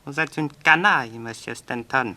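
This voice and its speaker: An actor playing a secretive, stubborn Chinese thief in a French radio crime serial, terse and guarded. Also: male voice, medium pitch, moderate pace, pushed and bright. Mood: stubborn, cold. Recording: noisy old radio recording.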